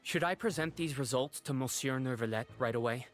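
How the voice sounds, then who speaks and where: A man's voice: mid-range voice, brisk, faint music behind, close to an everyday voice. Formal, dutiful male guard played by a man. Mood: sober, reporting. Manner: formal, precise. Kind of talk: video game voice acting.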